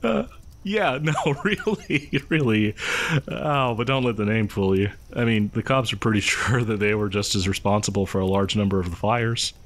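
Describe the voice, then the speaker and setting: A man's voice: fairly low pitch, moderate pace, natural voice. A man playing a chatty, creepily friendly killer posing as a partygoer in a horror audio roleplay, rambling hesitantly and conspiratorially with chuckles. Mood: chatty, amused. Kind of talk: horror audio roleplay, casual storytelling to listener.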